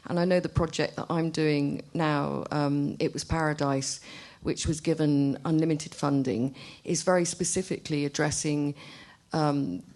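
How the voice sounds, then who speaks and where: A woman artist speaking haltingly and off the cuff at a public discussion, often repeating words. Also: low-pitched, fairly even intonation, darkish tone. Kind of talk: spontaneous remarks at a public discussion. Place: arts centre event room. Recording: live event recording.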